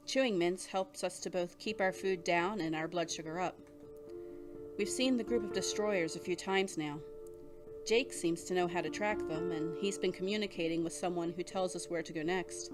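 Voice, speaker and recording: A woman's voice: lowish voice, close to an everyday voice. A woman playing a weary survivor of an apocalypse, narrating her journal in an audio drama.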